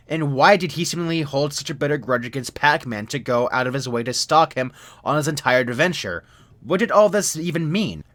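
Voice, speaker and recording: Measured young man narrating. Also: mid-range voice, fairly bright tone. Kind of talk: scripted creepypasta narration.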